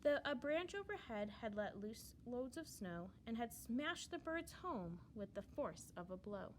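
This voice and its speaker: A woman reading a children's storybook aloud in verse, with expressive, dramatic emphasis. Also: fairly high pitch, measured pace, a little hiss or hum.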